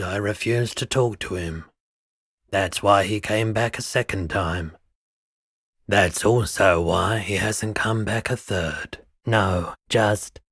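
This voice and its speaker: A mellow, philosophical pawnbroker for a video game. His voice is very low and he talks very slowly, with a languid, cryptic, unruffled manner. The recording is close and very dry. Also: husky, no echo, exaggerated voice. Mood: wry, detached. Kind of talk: scripted game dialogue.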